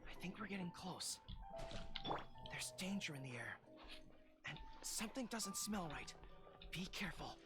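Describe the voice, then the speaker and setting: Game role: young female military leader woman actor, earnest, resolute, formal, over loud background music. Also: fairly low pitch, lively intonation, moderate pace, long pauses, natural voice. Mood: wary. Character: earnest young female resistance military commander, stern but caring. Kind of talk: video game voice acting.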